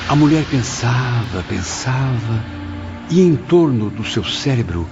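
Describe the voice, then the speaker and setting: A man's voice: mid-range voice, animated, slow. A man playing a compassionate, awed spirit who recounts his visions in an audio drama, earnest and troubled. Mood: troubled, sorrowful. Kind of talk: audio drama acting and narration. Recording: dull lo-fi audio, music bed.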